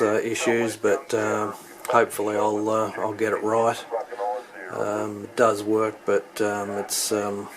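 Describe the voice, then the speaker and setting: A man giving an unscripted hands-on walkthrough of his equipment as a hobbyist, speaking haltingly and thinking aloud. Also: fairly low pitch, poor audio.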